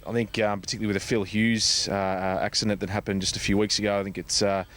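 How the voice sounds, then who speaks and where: An Australian man giving informal interview answers as a guest, speaking in a hesitant, halting way with many pauses. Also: on the low side, level tone, fairly bright tone, a little hiss or hum. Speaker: interview guest, charity foundation organiser.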